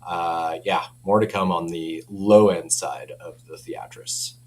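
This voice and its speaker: A man's voice: low-pitched, fairly even intonation, slow pace, darkish tone. A man casually and enthusiastically showing off products as a company representative, informal and given to chuckling. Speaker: speaker company representative giving a product demo. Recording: clear background noise with hum.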